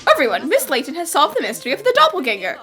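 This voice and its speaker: A woman's voice: high-pitched, wildly swooping pitch, racing, pushed and bright, soft background music, exaggerated voice. A woman voicing an earnest, puzzled boy who assists a detective in a cartoon fan dub, with a questioning manner. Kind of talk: fan-dubbed cartoon acting.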